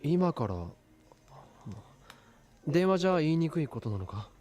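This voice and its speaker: A man playing a serious, gruff young student in a Japanese visual novel, with music behind him. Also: fairly high pitch, very animated, brisk, darkish tone. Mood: concerned.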